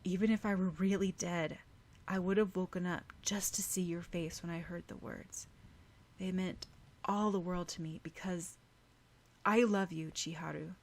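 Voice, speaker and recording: A woman's voice: on the low side, fairly even intonation, moderate pace, darkish tone. A woman voicing a playful, affectionate and earnest teenage boy in an audiobook story, with noise behind her. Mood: tender, earnest.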